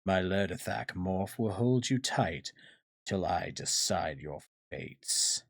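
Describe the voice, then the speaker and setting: Man putting on a slow, gloating alien warlord. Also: low voice, animated, gravelly, dry recording, clean studio sound, full character voice. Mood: gloating, menacing. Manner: slow, drawn-out, taunting. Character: gloating, menacing alien warlord villain, coolly mocking.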